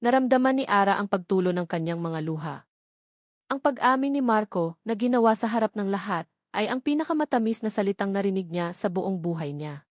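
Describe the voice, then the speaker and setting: A woman's voice: medium pitch, lively intonation, brisk, no room sound. Woman narrating a dramatic story, dry sound.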